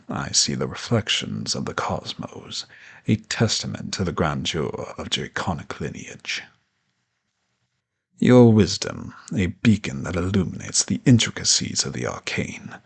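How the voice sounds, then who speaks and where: Audio roleplay: male dragon professor, man acting, ancient and condescending, deep gravelly growl, pompous formal diction. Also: full character voice. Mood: grand, reverent. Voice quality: gravelly, husky low voice. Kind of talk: scripted audio roleplay monologue addressed to the listener.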